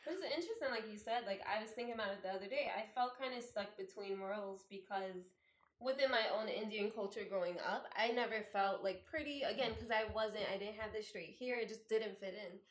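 A woman's voice: mid-range voice, a little fast. A woman from the Bronx talking reflectively in a casual conversation, with a lot of "like" fillers. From the Bronx, New York. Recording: roomy echo.

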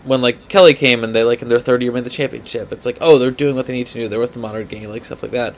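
A man's voice: medium pitch, steady tone, fast, a little hiss or hum. A man co-hosting a sports podcast in casual debate, often hedging and repeating his points for emphasis.

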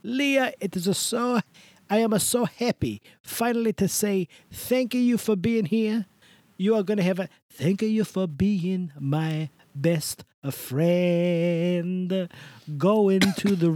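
A man voicing a sentimental, rambling older male host character who adds a stray "a" before words, with a very lively, up-and-down pitch. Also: moderate pace, no room sound. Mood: gushing. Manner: slips a stray "a" before words, broken grammar, over-the-top gushing. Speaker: podcast host. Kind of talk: comedic in-character podcast bit.

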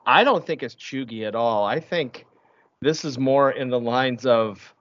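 Male podcast host, average pitch, stumbling joker, dry lo-fi sound. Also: measured pace, a bit bright, no echo. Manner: hesitant, stumbling restarts, joking. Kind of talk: casual chat.

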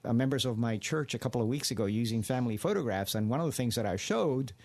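A man's voice: mid-range voice, animated, fast talker, darkish tone. An older man from Cuba, a radio guest telling his family story in an earnest, digressive way. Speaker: studio guest.

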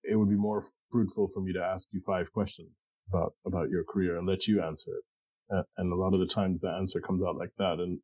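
A middle-aged man with a very mellow, husky voice speaks as a thoughtful interview guest. He talks casually and haltingly, repeating words as he works through his thoughts. The recording is close and dry, though a little dull. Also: sits low, flat delivery, no echo. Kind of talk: casual, reflective interview conversation.

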